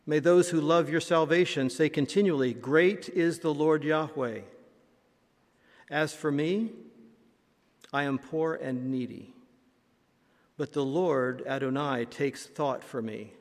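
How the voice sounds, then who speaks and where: A man, a pastor, reading scripture and leading a prayer in a solemn, measured way. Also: fairly high pitch. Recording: reverberant church hall.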